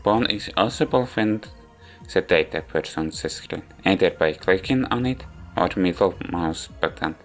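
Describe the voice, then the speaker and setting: Low slow guy reviewing a visual novel, halting non-native english, bit rambly. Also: expressive. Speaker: video game reviewer. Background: background music.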